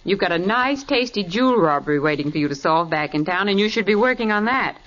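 A dull vintage radio recording of a woman acting a wry young sidekick to a detective. She is pert and teasing, with a mid-pitched voice. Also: expressive, quick pace, fairly bright tone, lightly acted. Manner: pert, wisecracking. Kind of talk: 1940s radio drama acting. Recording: muffled 1940s radio recording.

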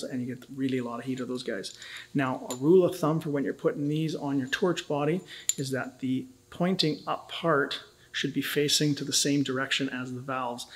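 A man, teaching welding safety in a casual, joking way in a welding shop. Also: on the high side. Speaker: welding instructor. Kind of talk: instructional video.

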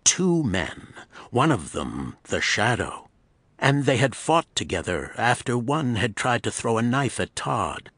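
A man narrating a pulp thriller as scripted storytelling, in a dramatic, measured manner. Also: medium pitch, big pitch swings, a bit bright, dry recording.

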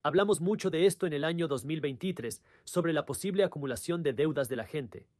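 A man's voice: fairly high pitch, brisk, a little mellow, dry recording, studio quality. A man presenting a scripted explainer in the manner of a dubbed video host.

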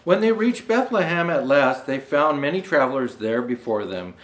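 Older man reading aloud, lively pitch swings. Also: moderate pace. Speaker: storyteller dressed as Santa Claus. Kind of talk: reading a children's storybook aloud.

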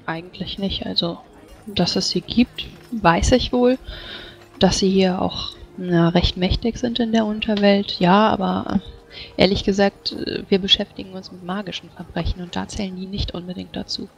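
A woman's voice: mid-range voice, fairly even intonation, moderate pace, creaky, dull audio. A woman improvising in-character dialogue in a tabletop role-playing game.

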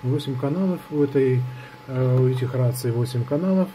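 A man's voice: medium pitch, a little fast, warm tone, slight background noise. A young man talking casually in a product review, rambling with many fillers and restarts. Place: indoors at a wooden table.

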